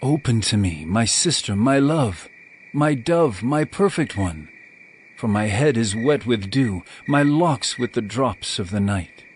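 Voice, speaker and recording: A man's voice: medium pitch, expressive, measured pace, a little hiss or hum, lightly acted. Man acting an ardent bridegroom, expressively wooing. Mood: pleading. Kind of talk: dramatized scripture reading. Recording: music bed behind the voice.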